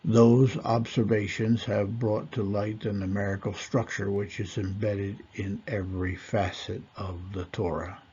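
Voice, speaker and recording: A man giving a slow, measured Torah lesson, pausing often with fillers. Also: lowish voice, level tone, warm tone, slightly rough, lo-fi sound. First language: not Hebrew. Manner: measured, deliberate, with fillers and pauses. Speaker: Torah teacher. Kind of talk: slow lecture.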